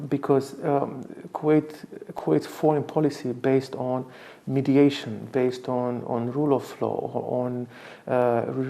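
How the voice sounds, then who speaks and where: Halting, mellow-voiced man from Romania. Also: mid-range voice. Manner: halting, hesitant, formal, repeats words. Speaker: diplomat, interviewee. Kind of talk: spoken tribute in an interview.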